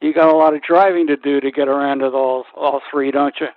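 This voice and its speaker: A man's voice: on the high side, steady tone, brisk, no echo. A man hosting a conversational interview, asking questions and introducing guests. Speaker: interview host. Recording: thin, phone-like radio sound.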